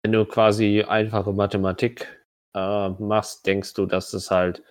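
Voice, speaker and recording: A man with a fairly low voice leads a tabletop role-playing session as game master. He improvises his narration in a conversational way. The sound is dry, with no room echo. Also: flat delivery, unhurried.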